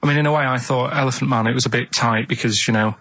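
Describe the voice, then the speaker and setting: A man co-hosting a radio chat show, rambling in a deadpan, halting way with vague explanations. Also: mid-range voice, no room sound.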